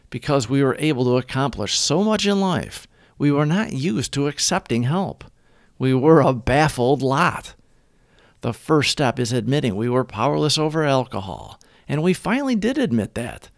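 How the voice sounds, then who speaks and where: A man reads a scripted reflection in a measured, deliberate way. His mid-pitched voice rises and falls with a lot of expression. The sound is dry, with no room echo at all. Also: vocal fry. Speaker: host of recovery readings. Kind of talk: scripted devotional reading.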